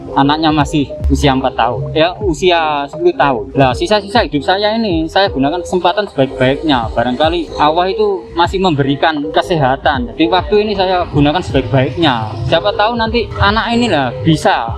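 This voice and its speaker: A man's voice: a little high, expressive, brisk. A man, giving earnest interview answers in casual speech and repeating his phrases. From Tuban, East Java, Indonesia. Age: 50s. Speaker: street food vendor being interviewed. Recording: music behind the voice.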